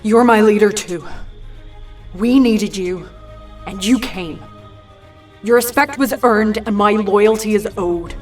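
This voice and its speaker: An actress plays a hardened, grudgingly loyal woman fighter. She talks slowly and firmly, a little off the microphone, over music in an audio drama. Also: medium pitch, far from the mic, natural voice. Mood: resolute.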